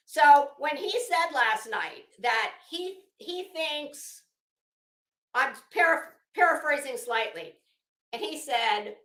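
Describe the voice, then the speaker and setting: A woman's voice: high voice, animated, takes it slowly, fairly bright tone, reverberant. A woman giving casual, opinionated commentary in a rambling, emphatic and blunt way, swearing freely. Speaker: online commentary host.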